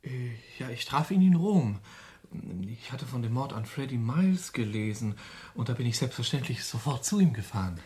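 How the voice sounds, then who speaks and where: A man's voice: medium pitch, animated, moderate pace, a little mellow, slightly rough. A young man acting in a German radio drama as a sly American con man who nervously feigns innocence, hesitating as he talks. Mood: nervous, evasive.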